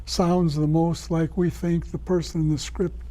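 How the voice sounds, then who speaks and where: A man answering interview questions as a guest, explaining things in a measured, informative way. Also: a little high, little pitch movement, warm tone. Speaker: guest, writer and director of radio plays.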